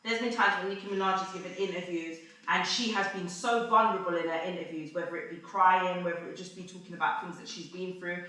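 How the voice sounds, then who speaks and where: A woman's voice: lowish voice, steady tone, fast, few pauses, sharp tone, heavy reverb, poor audio. A woman on a panel talk show speaking earnestly in a casual discussion. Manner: earnest, speaks her mind with many "like"s. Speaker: panel host.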